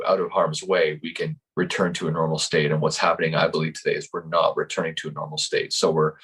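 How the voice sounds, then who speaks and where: A man in his fifties, a life coach, giving calm and earnest interview answers. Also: extremely low pitch, breathy edge. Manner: calm, earnest, speaks slowly in thought.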